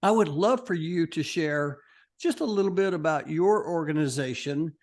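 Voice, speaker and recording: An upbeat male podcast host with a fairly high voice for a man. He talks with eager enthusiasm, often restarting his sentences and adding fillers as he introduces and questions his guest. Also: expressive, normal speed, darkish tone. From Texas.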